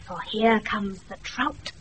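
A woman's voice: mid-range voice, natural voice. An actress with a British accent playing a mischievous, bossy waiting-woman in a radio Shakespeare play. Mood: gleeful scheming. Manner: brisk, conspiratorial. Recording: muffled, noisy off-air radio recording.

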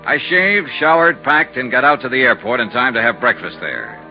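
A man plays a cool freelance investigator in an old radio detective serial. His delivery is crisp, clipped and wry, at a medium pitch. The recording is dull and vintage, with music along with it. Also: expressive, moderate pace, close to an everyday voice. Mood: dry narration. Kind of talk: 1950s radio detective acting.

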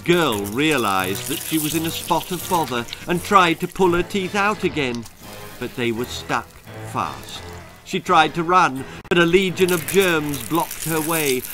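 A man's voice: fairly high pitch, lively intonation, unhurried, bright, forceful tone, soft background music. A man in his sixties reading a children's story dramatically. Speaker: author reading his own stories. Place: small book-lined room at home.

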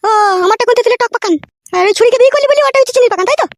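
A woman's voice: very high-pitched, racing, no room sound, studio quality. A woman voicing a betrayed, scolding village wife in a comedy cartoon, with a rural West Bengal accent, ranting and wailing. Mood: hurt, indignant. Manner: nagging, cursing, wailing rants. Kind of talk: comedy cartoon dub, melodramatic quarrelling.

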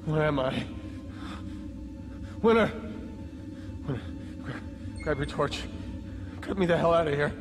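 An actor playing a desperate, panicked marine trapped in a video game, shouting frantically. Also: male voice, fairly high pitch, a little slow, long pauses. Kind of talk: video game dramatic dialogue. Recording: reverberant hall, loud music.

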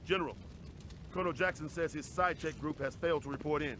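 An actor playing a brisk resistance soldier in a video game, reporting in a clipped, businesslike way. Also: male voice, on the high side, a bit bright, slight background noise, lightly acted. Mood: urgent, businesslike. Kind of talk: video game cutscene acting.

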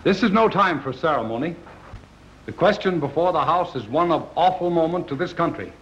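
A man's voice: fairly high pitch, expressive, a little fast, a little hiss or hum, natural voice. An actor playing a solemn, impassioned colonial statesman in a formal, declamatory 1930s film style. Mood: grave. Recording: dull 1930s film soundtrack, roomy.

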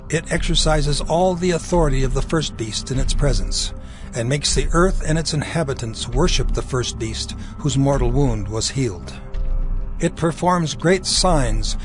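Guy narrating dramatized bible, slightly high voice, solemn n measured, soft music under it.

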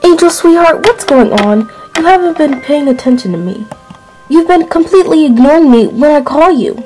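Concerned mother, gentle and prying, lightly raised voice, faint music underneath; a woman voices her. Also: high voice, lively intonation, normal speed, poor audio. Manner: soft, coaxing, questioning.

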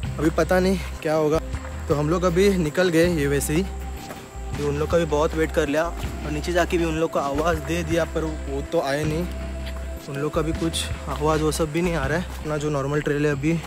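A young man vlogs on a forest trail in a slightly raised voice. He chats straight to his viewers, filmed on a phone held while walking. Music plays clearly beneath him. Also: fairly high pitch, flat delivery, moderate pace. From Maharashtra, India. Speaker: travel vlogger. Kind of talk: casual vlog narration to camera. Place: outdoor forest trail near a waterfall. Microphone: phone camera held while walking. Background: background music.

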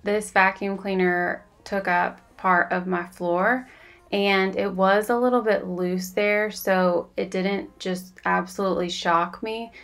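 A woman's voice: mid-range voice, steady tone, takes it slowly, creaky, a bit bright. A woman, chatting casually in a voice-over for her home vlog. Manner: chatty, confiding, says y'all. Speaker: vlogger.

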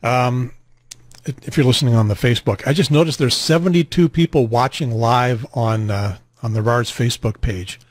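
An American man in his sixties hosting a radio net in casual chat, rambling and halting, on a close mic in a small home room. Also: medium pitch, no room sound. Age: 60s. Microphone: close boom studio mic, headset on.

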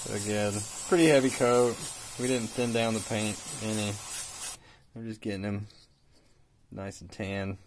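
A man in his thirties, a bit raspy from a cold, calmly talking through a miniature painting tutorial with plenty of fillers. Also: fairly low pitch, a little slow, background noise. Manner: relaxed, hesitant with fillers, explains while working. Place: hobby shop workbench indoors.

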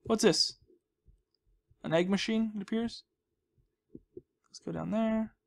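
A man's voice: sits high, slow pace, vocal fry. A man hosting a gaming video with casual, rambling commentary, chatty and jokey with a self-deprecating streak.

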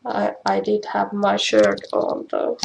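A Finnish-accented woman talks through a craft demo in a creaky, medium-pitched voice. Her English is halting and self-deprecating, and the live stream has a faint noise and clicks behind it. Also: completely flat delivery. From Finland. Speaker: craft demonstrator. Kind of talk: casual live craft tutorial. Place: indoors at home.

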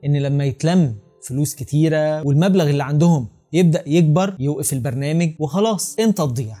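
A man's voice: fairly high pitch, warm tone. A man in his thirties with an Egyptian Arabic accent, explaining emphatically and speaking straight to the viewer as a channel host. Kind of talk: explanatory talk to camera. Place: small home room with curtains and desk, indoors. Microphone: clip-on lapel mic.

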